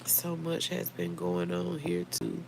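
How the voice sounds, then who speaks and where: An American woman talks earnestly and conversationally to her livestream audience. Her voice is slightly hoarse with a creaky edge, and she speaks at a slow pace. There is faint noise behind her. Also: fairly low pitch. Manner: conversational, earnest, addresses listeners directly. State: a little hoarse. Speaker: livestream host. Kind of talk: casual live chat reading viewer comments.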